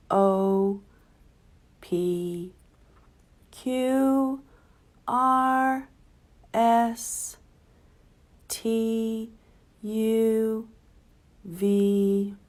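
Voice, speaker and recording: A woman leading preschoolers through the alphabet song, drawing out each letter clearly and then praising the children. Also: fairly high pitch, darkish tone. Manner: drawn-out, clearly paced, encouraging. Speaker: children's librarian leading a sing-along. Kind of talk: slow alphabet sing-along for preschoolers.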